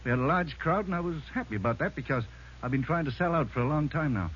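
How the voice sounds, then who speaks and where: An actor playing an anxious, talkative bar owner in an old radio crime drama. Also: male voice, a little high, expressive, fast, a bit bright. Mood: anxious, explaining. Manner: agitated, chatty, anxious. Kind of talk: 1940s-50s radio drama acting. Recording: muffled old radio recording, faint noise.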